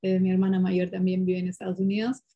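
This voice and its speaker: A woman's voice: on the low side, quick pace, lo-fi sound. Chatty woman telling stories. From Peru. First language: Portuguese. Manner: lively, animated, many fillers and restarts. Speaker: interview guest. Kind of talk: casual conversational storytelling.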